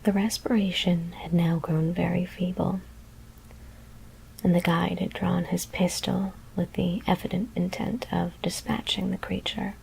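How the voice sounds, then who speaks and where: Asmr lady whispering a scary story, super slow n hushed, crackly voice, bit of background noise. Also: mid-range voice, gravelly.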